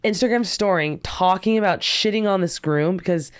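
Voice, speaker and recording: A woman's voice: medium pitch, moderate pace, clean tone. A young woman, a wedding photographer, chatting candidly on a podcast and telling stories with casual slang.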